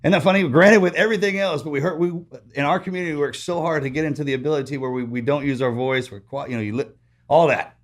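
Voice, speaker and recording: A man's voice: a little high, quick pace. A man with a Texas accent hosting a podcast, talking in a rambling, casual way and often restarting his sentences. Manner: gets louder and stronger in accent when excited. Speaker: podcast host. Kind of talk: casual interview chat.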